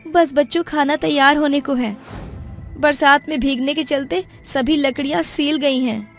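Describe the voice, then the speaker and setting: A woman's voice: high voice, steady tone, a little slow, pitched above the performer's own voice. A woman voicing a poor, long-suffering, loving mother in a cartoon story, with a pleading manner. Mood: tender. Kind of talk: cartoon voice acting. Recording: music under the voice.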